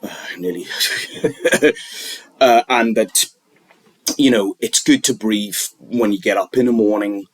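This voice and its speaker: Slow, husky-voiced man rambling. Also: lowish voice, dry recording. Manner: halting, rambling, with many restarts and fillers. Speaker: vlogger giving wellness advice. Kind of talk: unscripted advice monologue.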